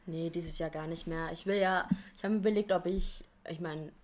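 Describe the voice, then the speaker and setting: Low-voiced young woman chatting. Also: steady tone, fairly quick. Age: 20s. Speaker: podcast co-host. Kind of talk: casual chat.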